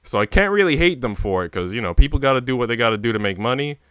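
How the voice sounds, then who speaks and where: A man's voice: medium pitch, expressive, quick pace, a bit bright, clear, resonant tone, no echo, studio quality. A man livestreaming, chatting casually with viewers in a rambling, slangy way with casual swearing. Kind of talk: casual chat with stream viewers.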